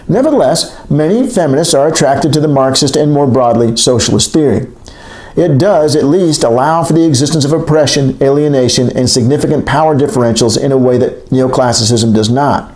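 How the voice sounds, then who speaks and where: A man reads an academic text aloud as scripted narration, in a measured, emphatic, lecturing way. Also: mid-range voice, a little mellow. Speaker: audiobook-style reader of an academic text. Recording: roomy, echoing voice recording.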